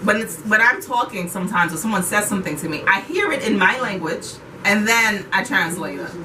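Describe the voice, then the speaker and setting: Woman guest, teacher, animated casual talker; roomy, off-mic, noisy recording. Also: medium pitch, fairly quick. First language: Haitian Creole. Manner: animated, repeats words for emphasis. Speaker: interviewee, ESL teacher. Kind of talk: casual interview chat. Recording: roomy echo, distant mic, noisy background.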